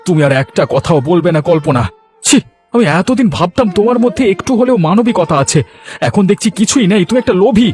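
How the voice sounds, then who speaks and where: A man's voice: sits high, lively intonation, fast, a little mellow. Cartoon role: male actor as decent, caring village uncle, earnest delivery, light music bed. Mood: indignant. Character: kind, morally upright village uncle, exasperated with greedy wife. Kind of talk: cartoon dialogue acting.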